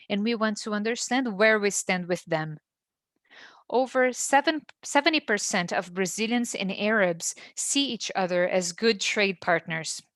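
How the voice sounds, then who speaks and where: A woman giving a formal business presentation in a steady, measured way. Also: mid-range voice, no echo, studio quality. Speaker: webinar presenter.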